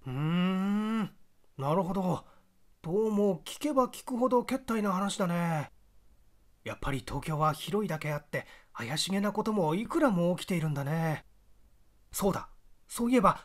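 A man's voice: high voice, pitched above the performer's own voice. A man voicing a folksy older countryman from a storybook, plain-spoken and wondering, musing in a rustic way.

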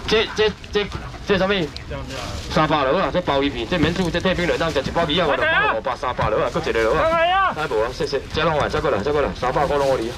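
A man running a live seafood auction at an outdoor night market stall, loudly shouting prices and sales patter. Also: very animated. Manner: loud rapid sales pitch, shouting prices. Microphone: wide camera in front of stall. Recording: noisy outdoor market, traffic behind.

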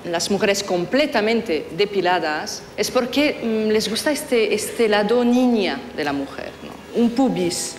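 A woman, a TV panelist calmly explaining in an archive clip from a large studio set. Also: medium pitch, measured pace, few pauses. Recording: roomy archive TV clip with noise.

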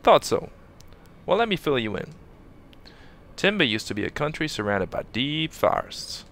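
A man voicing a brash, excitable young male fighter in a game fan dub, bursting with enthusiasm. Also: a little high, normal speed, vocal fry, heavily acted. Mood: excited. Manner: enthusiastic, boastful.

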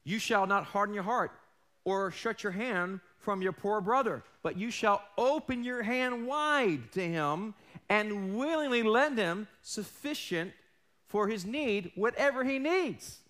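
A man in his forties preaching a sermon on a large church stage, losing his voice, animated and emphatic, swinging between loud and hushed and calling out questions to the congregation. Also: sits high, measured pace. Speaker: pastor.

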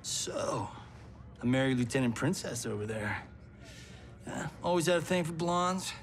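An actor playing a wry, easygoing middle-aged action hero, chatting casually in a video game. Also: male voice, medium pitch, animated, measured pace, slight background noise. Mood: wry, fond.

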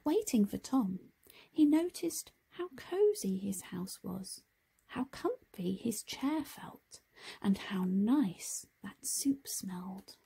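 A woman narrating a children's picture book, expressive and deliberate in her phrasing. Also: medium pitch, vocal fry, slightly rough. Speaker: storybook reader. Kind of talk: scripted children's story narration.

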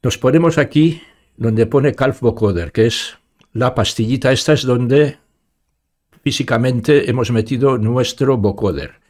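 A man in his sixties with an accent from Spain, giving an informal step-by-step software tutorial and talking each step through aloud into a close studio mic. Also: mid-range voice, unhurried. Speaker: tutorial presenter. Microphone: studio microphone up close, with headphones.

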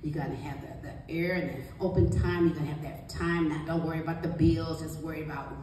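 Deep-voiced woman speaking in an echoey room. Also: steady tone, a little fast, warm tone. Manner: emphatic, encouraging. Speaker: guest author and book coach. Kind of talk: motivational panel talk to an audience. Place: small indoor meeting room. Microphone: handheld mic, captured by distant camera. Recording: distant, very echoey room, faint noise.

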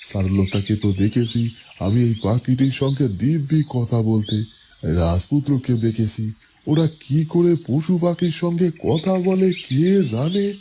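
A man's voice: mid-range voice, a little slow, close to an everyday voice. An actor playing a simple, puzzled father in a fairy-tale cartoon, hesitantly musing aloud. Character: puzzled, simple father, kindly and muttering to himself. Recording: clear background noise with birdsong.

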